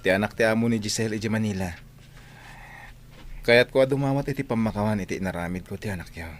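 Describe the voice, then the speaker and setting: A man's voice: fairly low pitch, fast, faint music behind, close to an everyday voice. A man playing a polite, earnest young suitor in a comedy radio drama, speaking respectfully. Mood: earnest, pleading.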